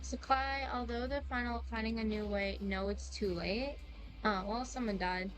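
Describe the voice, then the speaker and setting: A young woman chatting casually in a rambling, excitable, slangy way as she streams a game. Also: fairly high pitch, moderate pace, poor audio. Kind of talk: casual live-stream chat while playing. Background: game music.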